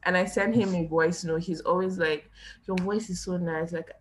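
A young woman who hosts a podcast talks in a relaxed, reflective way. Her voice sits low for a woman. The conversation is loose and casual. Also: normal speed, low-quality recording. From Nigeria. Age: young adult. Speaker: podcast host.

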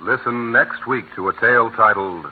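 A male radio announcer reading closing credits in a crisp, measured, formal broadcast style. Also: mid-range voice. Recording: tinny old radio broadcast with hiss.

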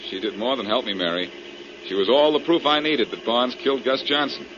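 A man's voice: medium pitch, fairly quick, natural voice. A man playing a cool, clever amateur detective in an old radio mystery, wry and self-assured. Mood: explaining. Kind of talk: 1940s radio crime drama acting. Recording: muffled, noisy old-time radio recording.

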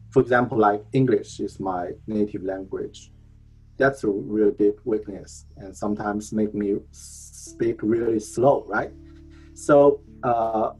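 A man whose first language is Chinese speaks as a guest on an online video call, talking in halting phrases with many fillers and restarts. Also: fairly low pitch, a little mellow, slightly rough, poor audio. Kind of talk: online talk answering a host.

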